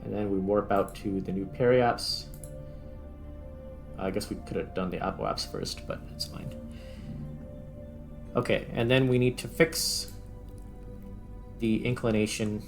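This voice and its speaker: A man with a fairly low voice and a noticeable creak gives casual commentary over a video game. He thinks out loud in short, informal bursts as he works through each step, with music playing behind him. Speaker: gaming video host.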